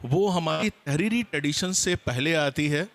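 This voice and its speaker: Higher-voiced man speaking as seminar panelist. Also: unhurried. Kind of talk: seminar talk to an audience.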